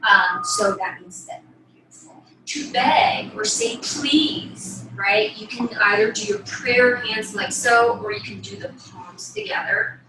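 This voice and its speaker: A woman's voice: medium pitch, unhurried. A young woman teaching a ballet lesson to camera, explaining casually and often checking in with a tag question. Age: young adult. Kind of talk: instructional demonstration, explaining to camera. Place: small bedroom, indoors. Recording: echoey room, distant mic, faint noise.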